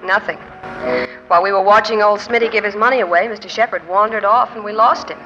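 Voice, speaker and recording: A woman's voice: medium pitch, steady tone, quick pace, close to an everyday voice. An actress playing a tender, wistful young American woman in a 1940s radio drama. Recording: muffled old 1940s radio, loud music behind.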